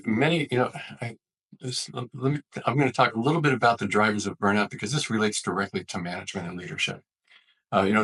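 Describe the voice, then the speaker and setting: A man with a fairly low, husky voice speaks as an expert guest. He lays out his points methodically and earnestly in an explanatory interview register. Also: a bit bright, low-quality recording. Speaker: physician, author and leadership expert interviewed as guest.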